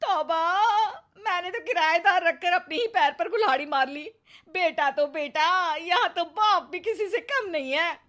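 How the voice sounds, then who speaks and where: A woman's voice: very high voice, unhurried, bright, forceful tone, pitched above the performer's own voice, exaggerated voice. A woman voicing a neglected, talkative cartoon housewife and mother, full of dramatic exclamations and biting questions. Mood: self-pitying. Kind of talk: Hindi cartoon moral story acting.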